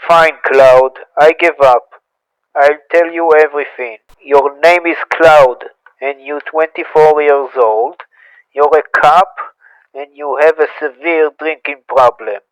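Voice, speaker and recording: A man plays a young wife and mother, worn down and pleading, in a slow, high voice that speaks in drawn-out lines. Her words sound dry and close, with faint music beneath them. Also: no echo, rough recording. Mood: exasperated. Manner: pleading, emotional, scolding. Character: young woman, worried, fed-up wife and mother.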